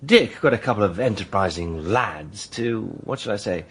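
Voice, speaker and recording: An actor playing a polite, self-possessed gentleman in a radio drama, measured and well-spoken. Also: lowish voice, animated, husky. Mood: smooth, guarded. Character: self-possessed gentleman posing as a visiting businessman.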